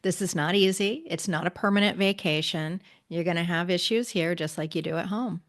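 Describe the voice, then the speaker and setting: A woman's voice: on the low side, fairly quick. A woman from the United States hosting a podcast interview, speaking reflectively with frequent fillers and agreeable backchannels.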